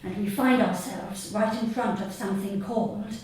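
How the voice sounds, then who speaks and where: A woman reading her comic short story live to an audience, in a deadpan, measured way with deliberate pauses. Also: medium pitch. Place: theatre stage at a live reading event. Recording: echoey hall, distant mic, audience noise. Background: audience laughter.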